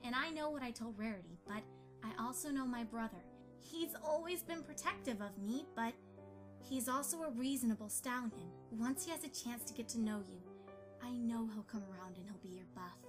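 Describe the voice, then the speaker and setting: A woman's voice: a little high, normal speed, music in the background, natural voice. A woman playing an earnest, bookish young pony mother in an amateur audio drama. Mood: earnest, mildly exasperated.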